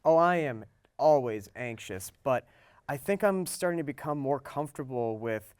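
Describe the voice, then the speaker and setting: A woman's voice: very deep voice, animated, moderate pace, a little mellow, smooth, no echo. A woman playing an anxious, wonderstruck creative soul in an audio drama, casual and hesitant. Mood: awed, amused. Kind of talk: naturalistic audio drama acting.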